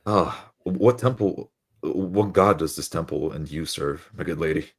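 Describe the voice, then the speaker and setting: A man acts out a dwarf adventurer for a roleplay game. His voice is extremely deep and his phrasing is formal, courteous and devout. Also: a little slow, vocal fry, husky, heavily acted. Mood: courtly.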